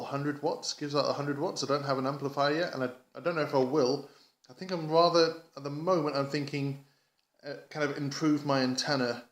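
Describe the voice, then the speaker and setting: A man from England giving a casual hobby vlog update, rambling enthusiastically and haltingly, often repeating words as he goes. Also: medium pitch. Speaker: amateur radio hobbyist vlogger. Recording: echoey, roomy sound.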